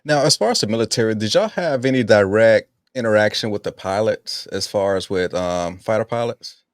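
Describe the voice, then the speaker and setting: A man's voice: fairly low pitch, animated, brisk, dry recording, clean studio sound. A man asking questions as an interviewer in a casual chat.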